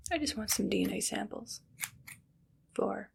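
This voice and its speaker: Creaky, whispery evil female doctor, acted by a woman. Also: medium pitch, animated, a little slow, a little hiss or hum. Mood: calmly menacing. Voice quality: soft, whispery, with creaky fry. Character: evil, vain, paranoid female mad doctor. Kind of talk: ASMR medical roleplay.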